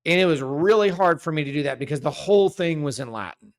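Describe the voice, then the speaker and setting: A man's voice: a little high, expressive, fast. A man as a guest researcher in a casual scholarly discussion, careful and self-correcting, explaining his findings at length.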